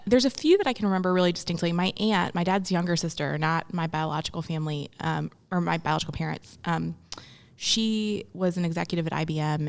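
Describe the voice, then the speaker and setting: A woman's voice: on the low side, gravelly. A young woman from Memphis, speaking reflectively as an interview guest, with frequent ums and restarts. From Memphis, Tennessee, US. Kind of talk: casual interview conversation.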